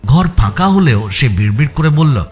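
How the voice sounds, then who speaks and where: A man's voice: mid-range voice, big pitch swings, normal speed, a little mellow, soft background music. A man with an Indian Bengali accent giving a dramatic first-person audiobook narration of a story.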